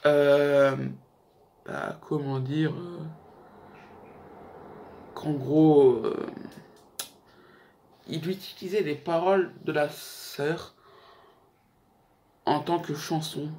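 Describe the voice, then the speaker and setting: A man's voice: a little high, steady tone, drawn-out words, pauses often, darkish tone, slight background noise. A young man hosting a casual YouTube countdown, talking in a slangy, joking, rambling way. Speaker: YouTube host. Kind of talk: casual chat to camera, countdown list.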